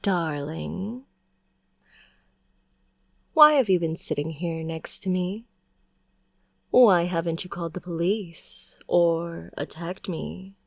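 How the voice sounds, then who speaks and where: A sweetly possessive witch, played by a woman, coaxes and condescends with pet names in an audio roleplay. Her voice sits low and carries a gravelly fry, and she talks very slowly. The sound is dry and close. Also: no echo, heavily acted. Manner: coaxing, condescending endearments.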